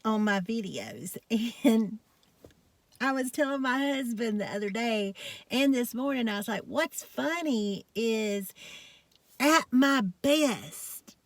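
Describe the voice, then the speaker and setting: A woman's voice: fairly high pitch, lively intonation, slow pace, no echo. A woman with a strong South Georgia country accent, chatting casually in a folksy, rambling way as a vlogger. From South Georgia, United States. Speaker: health and fitness vlogger. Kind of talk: casual chat to camera.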